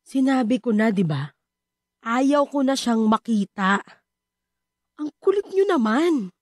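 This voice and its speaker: A woman's voice: a little high, sing-song, slow, a little mellow, no room sound, studio quality, exaggerated voice. An actress playing a harsh, bitter middle-aged Filipino mother in a radio drama. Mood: irritated, harsh.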